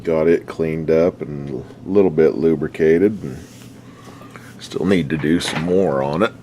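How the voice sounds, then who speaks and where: A man speaks in a very deep voice at an unhurried pace, with faint noise behind him. He sounds like a laid-back hobbyist walking through a workbench project off the cuff. His delivery is folksy and halting, with sentences that tend to trail off. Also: dark tone. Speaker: radio restoration hobbyist presenting a repair update. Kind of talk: casual unscripted workbench demonstration.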